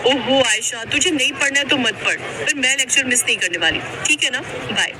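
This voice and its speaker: A woman's voice: high voice, normal speed, background noise, natural voice. A woman voicing a sincere, dutiful college girl from a poor family, in a cartoon story style. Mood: firm. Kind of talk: Hindi cartoon story voice acting.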